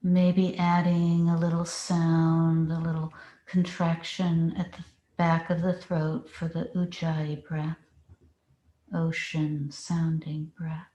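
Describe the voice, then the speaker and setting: A woman leads a guided meditation in an extremely unhurried way, leaving long pauses between phrases. Her tone is mellow and relaxed, and the room around her adds a clear echo. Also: low-pitched. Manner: slow, deliberate instructing with long pauses. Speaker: meditation teacher. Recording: roomy, echoing space.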